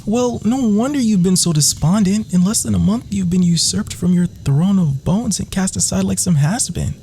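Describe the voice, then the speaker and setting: A man's voice: high-pitched, moderate pace, creaky, warm tone, slight background noise. A man performing whispered ASMR roleplay as an adoring, menacing villain lover, theatrical and florid, intimate in delivery. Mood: mocking, scornful.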